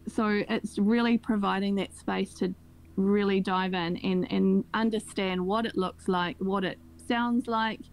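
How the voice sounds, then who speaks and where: A woman's voice: mid-range voice, steady tone, measured pace, darkish tone, low-quality recording. A woman speaking as a school staff guest in an interview, explaining things earnestly and conversationally.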